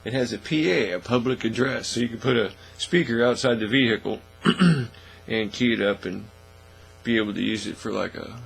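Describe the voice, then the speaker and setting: A man hosting a casual hands-on hobby demonstration in a folksy, informal, unhurried way, recorded in a small indoor workshop studio. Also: medium pitch, gravelly, husky, poor audio. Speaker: host of a hobby workbench channel. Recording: steady mains hum, faint noise.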